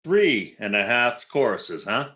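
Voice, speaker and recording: A man's voice: medium pitch, big pitch swings, slow, sharp tone, full character voice. A man playing a jealous, exasperated husband in a 1940s-style radio comedy, sarcastic and huffy. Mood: wry, suspicious. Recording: roomy, echoing recording.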